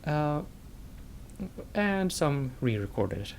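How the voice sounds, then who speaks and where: Guy doing a casual lets play, slow and halting, thinking out loud, bit of rumble behind. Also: big pitch swings. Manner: halting, hesitant, thinks aloud in fragments. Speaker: let's play commentator. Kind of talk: casual game commentary.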